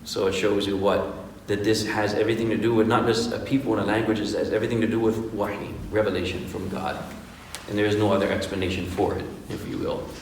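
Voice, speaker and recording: A man's voice: fairly low pitch, little pitch movement, fast, slight background noise. A man, an imam, giving an informal Quran workshop lecture, conversational and full of rhetorical questions, with Arabic pronunciation shown along the way. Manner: conversational, asks rhetorical questions like "right?" and "okay?". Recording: echoey hall, speaker far from the mic.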